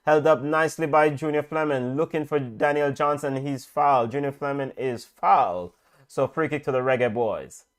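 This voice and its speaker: A middle-aged man giving live, halting football commentary mixed with casual chat. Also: medium pitch. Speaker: football watch-along commentator.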